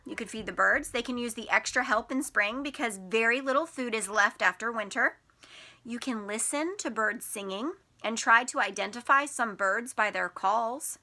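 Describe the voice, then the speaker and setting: A woman's voice: a little high, pushed and bright. A woman teacher reading aloud to young children, explaining things simply and stressing key words expressively. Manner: explains simply to children, asking them questions. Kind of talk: picture-book read-aloud for young children.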